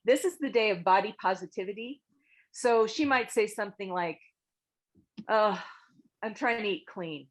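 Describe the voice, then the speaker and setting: A woman with a medium-pitched voice leads a critique after a script reading. She talks informally and haltingly, choosing her words tactfully and keeping an encouraging manner. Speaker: table read host giving critique. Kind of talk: informal spoken feedback to a group.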